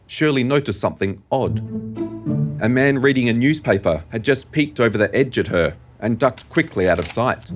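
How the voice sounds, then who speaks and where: A man narrating an audio drama in a measured, deliberate, scripted storytelling style. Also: medium pitch.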